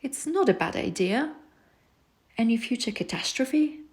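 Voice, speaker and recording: A woman reading rhyming self-help poems aloud in a soft, soothing, unhurried way. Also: a little high, animated. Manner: soft, soothing, slow recitation. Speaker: poetry reader.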